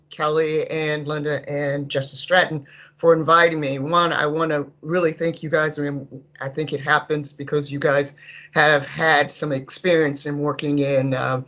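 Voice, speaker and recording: Higher-pitched man with slightly scratchy voice. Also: a bit bright, dull audio. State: slightly scratchy voice from not talking. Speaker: youth services panelist. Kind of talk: hesitant, grateful meeting remarks.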